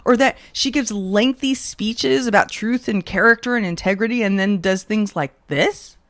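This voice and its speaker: A woman host giving scripted true-crime commentary in a measured, pointed way, leaning on rhetorical questions. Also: mid-range voice, no echo.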